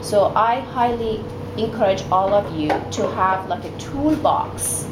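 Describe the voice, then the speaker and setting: A woman's voice: mid-range voice, lively intonation, unhurried. A middle-aged woman from Iran, a therapist, giving an earnest personal talk to a group of mothers, with many fillers and restarts. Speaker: guest speaker, therapist. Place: meeting room, indoors. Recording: echoey room with background noise.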